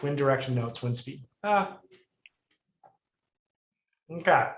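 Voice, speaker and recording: A man explaining code on a video call, his middle-pitched voice pausing and restarting as he works things out. The sound is roomy, with a clear echo around him. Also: lively intonation, slight background noise. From Puerto Rico. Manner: thinks aloud, frequent restarts and fillers. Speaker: instructor. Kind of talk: live coding lesson review.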